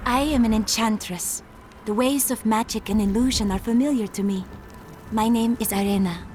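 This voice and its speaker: A woman playing a calm mystical enchantress. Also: on the high side, a little hiss or hum, close to an everyday voice. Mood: composed. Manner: measured, formal and foreboding. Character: mystical young female enchantress, calm and wise. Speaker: companion enchantress. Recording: game audio with loud music.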